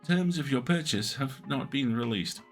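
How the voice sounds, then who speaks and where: A man reading interactive fiction aloud on a stream, with measured, atmospheric narration. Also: medium pitch, animated, faint music behind. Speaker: game narrator.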